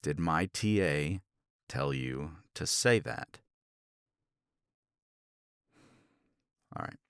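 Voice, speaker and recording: A blunt, proud college professor, acted by a man for a romance ASMR roleplay, with a very deep, creaky voice. He talks slowly and articulately with a sardonic, halting edge, recorded close and dry. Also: expressive, no echo, exaggerated voice. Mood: probing, grudging praise. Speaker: voice actor in audio roleplay.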